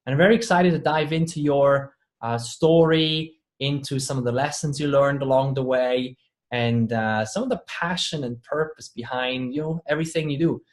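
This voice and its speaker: A man's voice: medium pitch, moderate pace. A man hosting a leadership podcast, asking rambling, enthusiastic questions full of fillers. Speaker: podcast host. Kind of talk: interview hosting.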